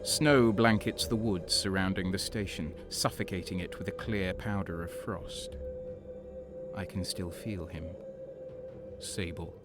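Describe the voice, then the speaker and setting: Male actor as brooding, haunted soldier narrating a sci-fi war drama; low, grave, deliberate, music underneath. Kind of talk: dramatic scripted first-person narration.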